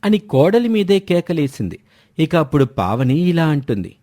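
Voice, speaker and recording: A man with a fairly high-set voice narrates a scripted family tale in the manner of a storyteller. He reads it as a moral story. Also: big pitch swings, normal speed, warm tone.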